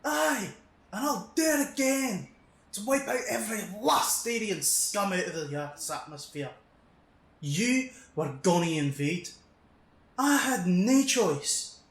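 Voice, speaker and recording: An actor playing a defiant military commander with a Scottish accent, brash, sardonic and commanding, in an audio drama. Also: male voice, high voice, lively intonation, slow pace, fairly bright tone, roomy sound, full character voice. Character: gruff, defiant military commander, combative and mocking.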